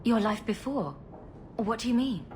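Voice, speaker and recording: An actress playing a caring female adventurer who asks gentle, probing questions in video game dialogue. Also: on the high side, lively intonation, moderate pace, big-room sound, a little hiss or hum, close to an everyday voice. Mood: concerned.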